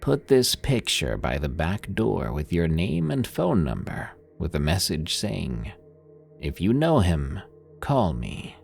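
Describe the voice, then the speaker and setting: Guy doing a wise old priest, low voice, calm and slow-spoken. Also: vocal fry, husky, faint music behind, full character voice. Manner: calm, measured. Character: calm, wise older male spiritual priest.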